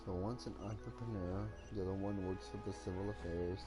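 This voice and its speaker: A man streaming a game, casually thinking aloud in low-key commentary. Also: steady tone, a little fast. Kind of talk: casual live gameplay commentary. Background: loud game music.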